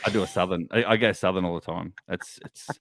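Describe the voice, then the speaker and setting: A man's voice: deep voice, fairly even intonation, fast talker, fairly bright tone, no echo, studio quality. A man with an Australian accent, co-hosting a podcast and chatting, laughing and explaining things. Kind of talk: casual podcast banter.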